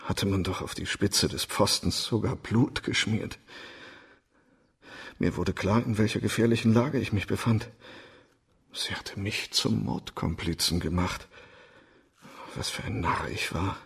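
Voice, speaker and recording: An actor playing an agitated, self-pitying doctor who pleads his case haltingly in a German radio crime drama. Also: lowish voice, creaky, slightly rough, heavily acted. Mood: anxious, rueful.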